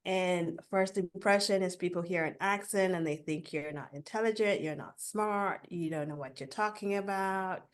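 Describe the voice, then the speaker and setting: Animated woman guest telling her story. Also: on the low side, normal speed, hardly any pauses. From Africa. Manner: animated, laughs between points. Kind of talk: casual interview storytelling.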